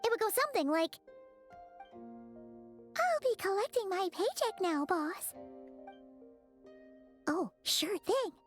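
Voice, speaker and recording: A woman voicing a small, chatty, childlike fairy companion in a video game, with a squeaky, excitable delivery. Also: slow pace, long pauses, soft background music, heavily acted. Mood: puzzled, joking. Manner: speaks of herself in third person, animated. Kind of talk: video game character acting.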